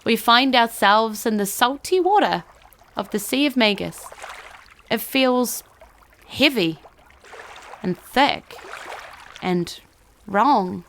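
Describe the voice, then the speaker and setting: A woman narrating an audio drama in a measured, ominous storytelling manner. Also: fairly high pitch, lively intonation, a bit bright. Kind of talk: slow, dramatic scripted narration.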